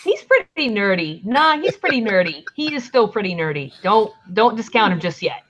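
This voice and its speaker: A woman's voice: medium pitch, sing-song, moderate pace, fairly bright tone, slight background noise. A woman with a Southern accent, rambling and swearing casually in a livestream chat as a band manager. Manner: rambling, chatty, swears freely. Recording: lo-fi stream audio.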